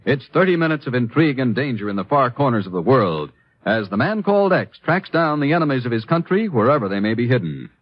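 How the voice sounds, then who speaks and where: Husky American vintage radio announcer, male. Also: medium pitch, sing-song, fast talker, no room sound. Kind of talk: scripted 1950s radio promo announcing. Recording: dry, muffled old radio broadcast.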